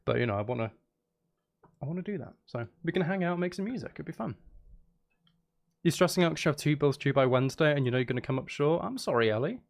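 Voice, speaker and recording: A man's voice: a little high, lively intonation, fast, gravelly, pauses often. A man chatting casually to viewers on a livestream, rambling playfully, cutting himself off and repeating phrases. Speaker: live streamer, music and game maker. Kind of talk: casual livestream chat with viewers while working.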